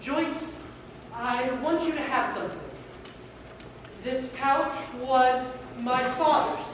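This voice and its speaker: A proud, schooled young Native man with a notably high voice speaks earnestly and insistently in a stage play, acted by a young man. He sounds distant in a strongly echoing hall, with faint noise. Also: takes it slowly. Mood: tender. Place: theatre stage.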